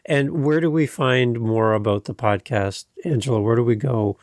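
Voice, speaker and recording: Effusive male podcast host, gravelly creak. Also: mid-range voice, moderate pace, a little mellow, no room sound, clean studio sound. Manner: effusive, emphatic. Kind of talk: conversational interview hosting.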